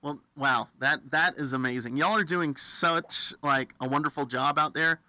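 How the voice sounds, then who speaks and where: A very bright American male voice, a little high and very dry. He hosts a friendly radio interview, upbeat and folksy, readily cheering on his guest. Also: normal speed, dry recording. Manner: enthusiastic, affirming, folksy.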